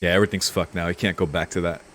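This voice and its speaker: A man with a low-pitched voice works through a story game as a let's player. He reads narration aloud and muses on it casually, in a laid-back way. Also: little pitch movement, rapid-fire, fairly bright tone. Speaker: let's-play streamer. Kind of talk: reading game text aloud with casual commentary.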